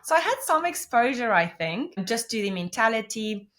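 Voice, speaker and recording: A woman's voice: fairly high pitch, sing-song, moderate pace, a bit bright. Rambling Namibian woman, Afrikaans native. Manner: rambling, self-correcting explanations with many restarts. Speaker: podcast guest. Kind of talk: conversational interview.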